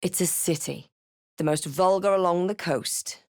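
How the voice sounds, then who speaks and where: A woman voicing a wary, composed adventurer heroine for a fantasy video game, with measured, dramatic delivery. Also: fairly low pitch, darkish tone, dry recording, studio quality. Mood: wry, measured.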